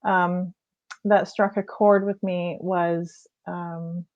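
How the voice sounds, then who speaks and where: Woman host, low energy, soft-spoken and rambling, dull lo-fi sound. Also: level tone, very slow. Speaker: life coach host. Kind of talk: casual interview chat. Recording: slightly dull lo-fi audio.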